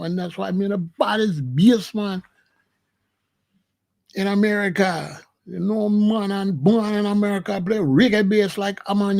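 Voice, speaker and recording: A swaggering, no-nonsense rasta bad man brags in a put-on Jamaican patois, as a man acts him out. The voice sits high with a gravelly edge. He piles up tough-guy boasts and repeated tags. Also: darkish tone. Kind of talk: comic impression.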